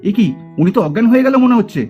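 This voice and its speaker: A man's voice: extremely high pitch, animated, fast, a little mellow. A man voicing a flustered, guilty but caring young village uncle doll in a puppet cartoon, often repeating his words. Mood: alarmed. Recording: music under the voice.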